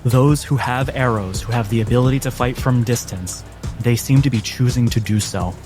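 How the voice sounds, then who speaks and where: A man acting as game master, narrating a tabletop game with dramatic, deliberate phrasing. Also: medium pitch. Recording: music behind the voice. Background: background music.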